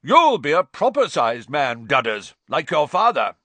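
A man voicing a loud, pompous, spiteful middle-aged aunt who has been drinking, in an audiobook. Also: on the high side, wildly swooping pitch, unhurried, no room sound, pitched above the performer's own voice, heavily acted. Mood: smug, sneering. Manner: pompous, sneering, emphatic. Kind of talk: audiobook character voice.

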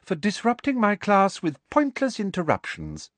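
A man voicing a smug, sickly-sweet middle-aged female ministry teacher who speaks smoothly and condescendingly. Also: high voice, huge pitch swings, moderate pace, dry recording, voice pushed up.

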